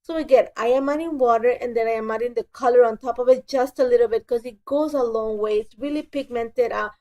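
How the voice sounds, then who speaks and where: A woman's voice: on the high side, a little fast, mellow. A woman with a stuffy cold, narrating a scrapbooking tutorial in a chatty voice, often correcting herself and apologizing. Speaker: scrapbooking crafter. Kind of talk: casual voice-over tutorial.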